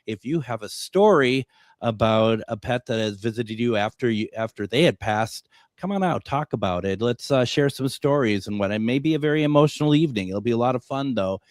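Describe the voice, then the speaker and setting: Chatty radio host, many "uh"s. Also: male voice, medium pitch, lively intonation, brisk, dry recording, clean studio sound. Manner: chatty, restarts often with "uh". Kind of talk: casual radio talk and live ad reads.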